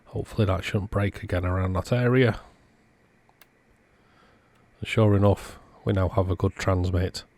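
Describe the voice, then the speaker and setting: A man's voice: low-pitched, fairly even intonation, moderate pace, long pauses, a little mellow, no room sound. A man hosting a repair video, giving casual spoken commentary as a technician.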